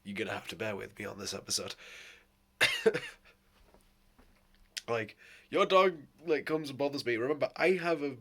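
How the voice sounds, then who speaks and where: A man with a sore, hoarse throat, rambling casually in a vlog, swearing and laughing often. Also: medium pitch, wildly swooping pitch, moderate pace, pauses often, bright, forceful tone. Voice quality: hoarse, voice cracking. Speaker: vlogger.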